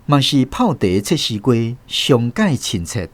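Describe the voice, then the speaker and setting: Casting: male narrator, Taiwanese accent, lively intonation, close dry studio sound, literary radio drama. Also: mid-range voice, a little slow, husky, no echo.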